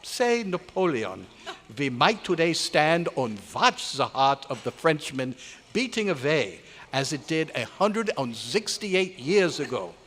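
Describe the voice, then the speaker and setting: Excitable German professor, echoey hall. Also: male voice, fairly high pitch, unhurried. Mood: instructive, proud. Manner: emphatic, formal foreign phrasing. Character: stern, excitable German scientist, head of an institute. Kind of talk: old-time radio drama acting. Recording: echoey live hall recording, faint noise.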